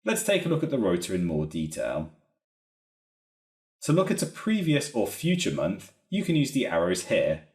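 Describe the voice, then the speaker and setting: A man narrating a software training walkthrough in a methodical, explanatory way. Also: lowish voice, huge pitch swings, moderate pace. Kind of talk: instructional screen-recording narration. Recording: roomy, echoey voice recording.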